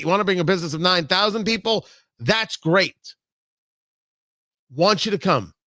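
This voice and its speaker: A man's voice: high voice, a bit bright, no echo, clean studio sound. An American man delivering an opinionated political monologue as a radio host, emphatic and theatrical, repeating phrases for effect. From New York and New Jersey, United States.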